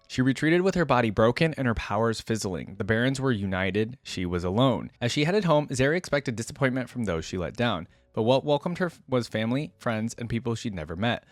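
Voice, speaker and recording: A man with a fairly low voice hosts a reaction video, reading story text and adding casual comments. The sound is dry and close, with little room around it. His delivery is informal and conversational. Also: no echo. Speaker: reaction video host and reader.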